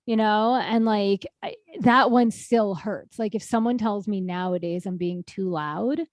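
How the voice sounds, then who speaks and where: A woman in her thirties co-hosting a podcast in casual chat, animated and quick to laugh. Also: medium pitch, no room sound. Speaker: podcast co-host.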